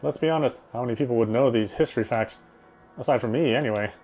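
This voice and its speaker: A man's voice: mid-range voice, quick pace, a little mellow, faint music behind. A man reading visual novel narration aloud, sometimes stumbling and going back over phrases. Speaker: game streamer reading aloud.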